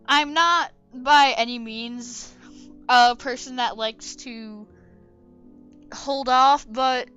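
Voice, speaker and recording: A woman's voice: high voice, extremely slow, pushed and bright, clean tone, highs cut off, soft background music. A woman chatting casually about her own drawing over a speedpaint video, rambling and often correcting herself. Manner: rambling, hesitant, self-correcting. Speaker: artist commenting on own drawing. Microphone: desk microphone.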